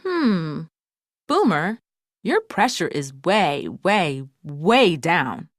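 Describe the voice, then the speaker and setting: A woman's voice: lowish voice, very animated, extremely slow, no room sound, clean studio sound, heavily acted. A woman voicing a caring, confident young girl who doctors toys in a storybook, explaining things reassuringly. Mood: serious.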